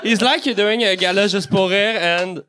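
A man's voice: high voice, normal speed, bright, forceful tone, slight background noise. A man from Quebec whose first language is French, a playful podcast guest. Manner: playful, egging others on. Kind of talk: casual comedic banter.

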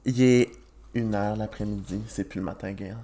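A man's voice: on the low side, steady tone, moderate pace, dark tone. Young Québécois man joking on a podcast. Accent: Québécois French. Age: 20s. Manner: joking, self-mocking storyteller with frequent laughs. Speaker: podcast host. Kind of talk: casual chat.

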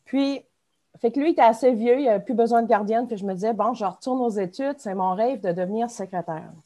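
A woman's voice: on the high side, fast, mellow. A woman in her forties from the Acadian Peninsula with an Acadian French accent, chatting as a podcast guest in a rambling, self-mocking way. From Acadian Peninsula, New Brunswick. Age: 40s. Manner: chatty, rambling, laughs at herself. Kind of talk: casual interview chat.

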